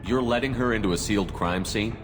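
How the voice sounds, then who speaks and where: Firm male game commander actor, low voice, principled and direct, long reverb with music underneath. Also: fairly quick, close to an everyday voice. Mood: businesslike. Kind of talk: video game cutscene acting.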